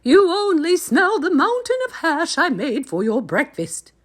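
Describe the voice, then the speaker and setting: Woman reader voicing a bossy storybook giantess, squealing high and loud. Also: huge pitch swings, measured pace, exaggerated voice. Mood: scolding. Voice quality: shrill, shouting. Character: huge bossy female giantess, shrill and frantic. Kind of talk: storybook read-aloud.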